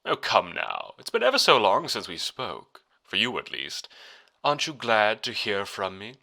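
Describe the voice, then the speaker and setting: A man's voice: lowish voice, wildly swooping pitch, moderate pace, vocal fry, sharp tone, pitched above the performer's own voice, heavily acted. A man voicing a smug, sinister doctor in an audio drama, theatrical, condescending and mockingly courteous.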